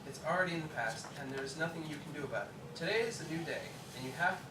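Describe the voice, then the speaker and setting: A man's voice: on the high side, animated, quick pace, natural voice. A man playing an earnest self-help audiobook speaker at a script table read. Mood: uplifting. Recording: echoey room, distant mic, noisy.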